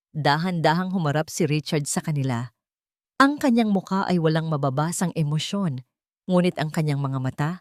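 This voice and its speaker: Lowish, expressive woman storyteller. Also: no echo, clean studio sound. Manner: solemn, deliberate, suspenseful. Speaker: audio drama narrator. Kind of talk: dramatic scripted story narration.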